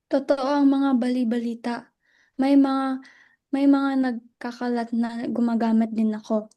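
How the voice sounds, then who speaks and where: A woman in a dramatic reading playing an anguished, worn-out wife who pleads earnestly and haltingly. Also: fairly high pitch, little pitch movement, mellow, close to an everyday voice. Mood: defensive.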